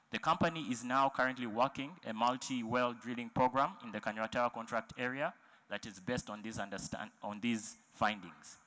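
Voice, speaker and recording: Male presenter with a bright voice, halting, filler-heavy, conference hall. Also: mid-range voice. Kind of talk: technical presentation.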